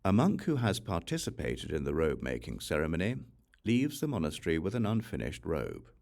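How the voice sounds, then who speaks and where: Deep-ranged man narrating with lively intonation. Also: a little fast, creaky. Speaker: audiobook reader. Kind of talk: scripted scripture narration.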